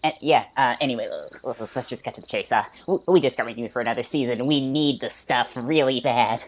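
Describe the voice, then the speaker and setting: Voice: mid-range voice, a little fast, a little hiss or hum, close to an everyday voice. A performer voicing a desperate, jittery cartoon sponge who stammers and pleads in a parody dub.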